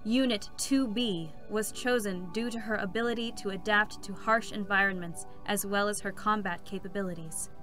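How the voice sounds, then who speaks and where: A woman's voice: medium pitch, steady tone, normal speed, hardly any pauses, bright, forceful tone, close to an everyday voice. A cold, procedural female support robot, acted by a woman, speaks in formal, clipped announcements. The game drama reading has music playing behind the unfeeling, clinical delivery. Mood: insistent, procedural.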